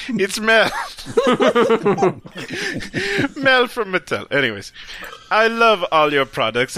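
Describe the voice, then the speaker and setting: A man's voice: sits high, sharp tone. Giggly man reading in a swooping voice. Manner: giggly, theatrical, swooping delivery. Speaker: podcast co-host reading comments aloud. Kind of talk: comic reading with put-on characters.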